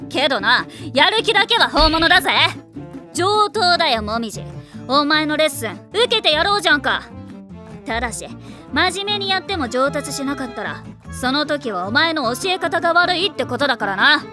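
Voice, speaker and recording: A woman voicing a brash, cocky tomboyish teenage girl with rough, slangy, boastful speech in an anime. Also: high voice, sing-song, normal speed, music in the background. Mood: defiant, cocky. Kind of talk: anime voice acting.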